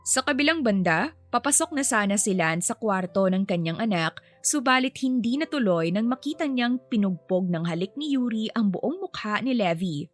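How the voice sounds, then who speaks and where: A woman's voice: medium pitch, lively intonation, moderate pace. A woman storyteller reading a scripted romance story as narration. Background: faint music.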